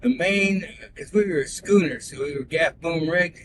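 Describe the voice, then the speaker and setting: A man with a high voice tells winding stories from the back of a moving car, stopping to restart and fill gaps with "uh". The hidden-mic recording carries a steady, clear road rumble under him. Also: moderate pace. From born in Belgium, raised in Canada. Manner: folksy, digressive, with frequent "uh" and restarts. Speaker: rideshare passenger telling sailing stories. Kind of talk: rambling casual storytelling.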